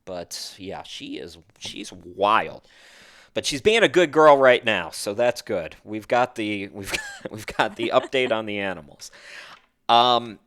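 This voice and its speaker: A man's voice: fairly low pitch, lively intonation, a little slow, a bit bright. A man hosting a podcast, chatting casually and wandering from one thought to the next. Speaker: podcast host.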